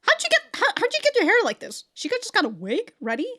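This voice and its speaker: A woman's voice: extremely high pitch, huge pitch swings, quick pace, sharp tone. A woman giving casual, slangy reaction commentary with plenty of swearing and exclamations. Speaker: reaction video host. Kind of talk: casual reaction commentary to an anime, reading subtitles aloud.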